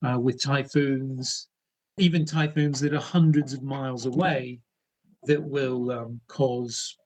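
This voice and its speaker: A middle-aged man, an archaeologist, explaining things in an interview in a relaxed way, with fillers and restarts. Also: medium pitch. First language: English. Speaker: archaeologist guest.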